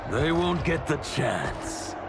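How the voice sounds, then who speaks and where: A man's voice: sing-song, slow. A man playing a menacing, coldly confident otherworldly villain in a theatrical video game scene. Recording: loud music and rumble behind.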